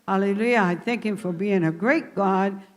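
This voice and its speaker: A woman's voice: mid-range voice, normal speed. A woman giving a fervent church testimony made of repeated thanksgivings. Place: church hall. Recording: long reverb of a church hall.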